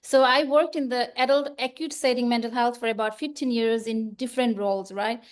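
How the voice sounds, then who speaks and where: A woman's voice: a little high, steady tone, brisk. A woman from Nepal in her thirties or forties, a nurse, answering interview questions on a video call in a friendly way, explaining things step by step. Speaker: guest, nurse.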